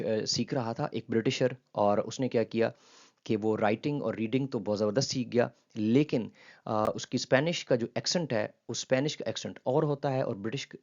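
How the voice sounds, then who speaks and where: A man with a Pakistani accent lectures on a linguistics textbook chapter, dropping English terms into Urdu explanations. He pauses often on fillers as he works through each idea. His mid-pitched voice comes through a dull, lo-fi recording. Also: a little mellow.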